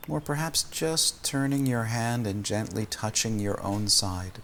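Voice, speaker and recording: A man leading a guided meditation as a teacher, speaking gently and soothingly, with long pauses between phrases. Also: on the low side, steady tone, a little hiss or hum.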